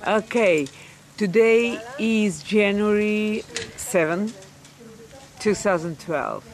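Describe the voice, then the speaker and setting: An interviewer asking slow, simple questions and prompting often with short murmurs. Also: medium pitch. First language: not English. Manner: slow, prompting with frequent mm-hmms. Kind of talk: on-site video interview.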